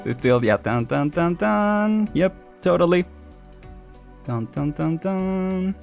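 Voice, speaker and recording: A man chatting casually and rambling as he thinks out loud on a live game stream. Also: sits high, slow pace, creaky, faint music behind.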